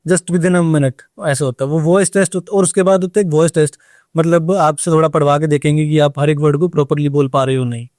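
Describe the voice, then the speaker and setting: A man explains his work in an informal interview. His voice sits a bit high and is recorded close and dry. He feels his way through explanations respectfully, slipping in English words. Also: fairly even intonation, a little fast, darkish tone, dry recording. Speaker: air traffic controller being interviewed.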